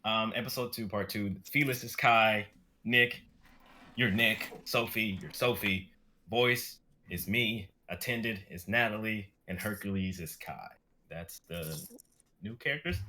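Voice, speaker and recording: Young male performer, lowish pitch with a bright edge, offhand and halting, sorting out a read-through. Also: a little hiss or hum. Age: young adult. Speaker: writer-performer on a streaming show. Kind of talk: casual chat, organizing a script reading.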